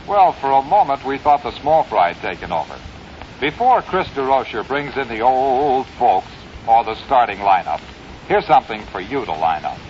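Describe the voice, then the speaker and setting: An American man works as a scripted radio announcer in 1950s style. His delivery swings widely in pitch around a middle register. The sound is dull old radio with slight noise. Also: very animated, moderate pace. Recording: dull, noisy early 1950s radio recording.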